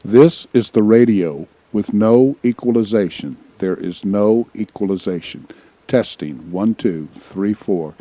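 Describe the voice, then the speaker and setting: A man's voice: lowish voice, a little slow, dry recording. A man making clipped, repetitive microphone test announcements, heard through an amateur radio's monitor output. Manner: clipped, repetitive short phrases. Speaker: radio operator giving test recordings. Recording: dull band-limited amateur radio monitor audio.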